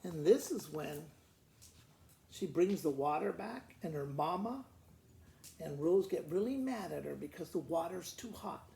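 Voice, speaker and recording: Creaky-voiced man storytelling, roomy echo. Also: on the high side, mellow. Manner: simple, conversational retelling addressed to children. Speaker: school principal reading to pupils. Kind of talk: informal children's storytelling with picture commentary. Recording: roomy echo, faint background noise.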